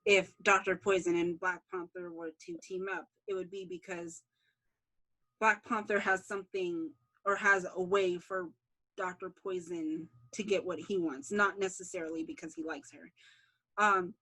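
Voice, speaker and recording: A woman's voice: mid-range voice, animated, moderate pace, gravelly, sharp tone, low-quality recording. A woman being interviewed as a series creator, explaining things informally in casual conversation.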